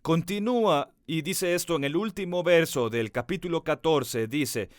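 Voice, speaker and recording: A pastor preaching with earnest conviction, his voice sitting somewhat high for a man. He speaks right to his listeners and stretches out the words he wants to land. The sound is close and dry, with almost no room around it. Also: no room sound. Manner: earnest preaching, slows down on key words for emphasis; addresses the listener directly. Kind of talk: sermon.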